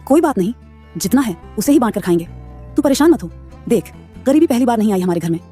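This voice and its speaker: A woman's voice: on the high side, animated, fast, mellow, breathy edge, faint music behind. A woman playing a caring old mother. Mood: tender. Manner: soothing, motherly. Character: poor caring old mother, gentle and steady. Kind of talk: animated story voice acting.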